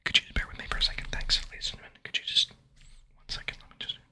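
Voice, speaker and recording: Whispering male ASMR creator, bright and breathy. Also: fast. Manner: repeats short phrases over and over. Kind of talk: whispered ASMR, casual and rambling to viewers.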